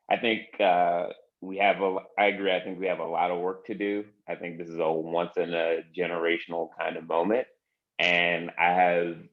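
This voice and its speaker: A man talking as a panel guest on a video call, blunt and halting, often restarting his sentences. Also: deep voice, narrow, tinny audio. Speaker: panel guest, communications leader.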